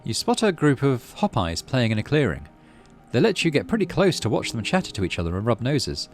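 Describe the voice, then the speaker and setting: A man's voice: medium pitch, wildly swooping pitch, brisk, faint music behind. A man casually hosting a game playthrough, reading narration aloud and muttering his thinking as he plays. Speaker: gaming channel host.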